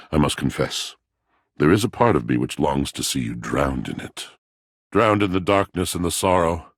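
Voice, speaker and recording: A melodramatic, heartbroken object come to life in a video game. His voice is exceptionally low and full of gravel, recorded dry and close. He speaks in mournful, grandiose verse, as a theatrical brooding lover. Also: no room sound, full character voice. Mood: brooding, grave.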